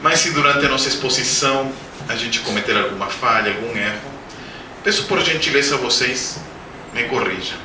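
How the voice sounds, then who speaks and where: A man's voice: medium pitch, animated, moderate pace. A man in his forties, a native Spanish speaker with a Spanish-speaking accent, giving a humorous spiritist lecture full of anecdotes and questions to the audience. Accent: Spanish-speaking accent in Portuguese. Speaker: guest speaker at a spiritist center. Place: lecture hall. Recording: roomy hall, distant mic, background noise.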